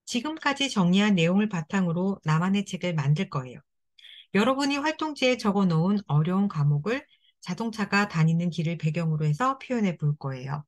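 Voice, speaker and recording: A woman narrating step-by-step craft instructions for children in a gentle, encouraging way. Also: on the low side, animated, brisk. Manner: gentle, encouraging, speaks directly to kids.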